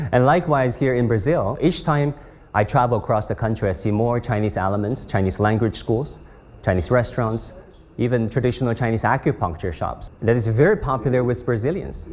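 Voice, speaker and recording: A man hosting a television news interview, asking polite, measured questions with occasional "um" fillers. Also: lowish voice, a little mellow. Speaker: TV interviewer and host. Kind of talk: news interview and closing narration.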